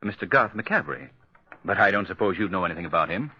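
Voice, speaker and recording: An actor playing an urbane, assured gentleman crime-fighter with dry understatement, in 1940s radio drama style. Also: low voice, lively intonation, fast. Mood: dry, probing. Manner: assured, dryly understated, crisp orders. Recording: dull, lo-fi 1940s radio recording.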